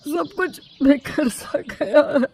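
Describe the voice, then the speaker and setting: A woman's voice: high voice, a little mellow. A woman voicing a grieving, stubborn widowed elder brother in a storybook tale, mournful and protesting. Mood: grieving, despairing. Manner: mournful, protesting, drawn-out phrases.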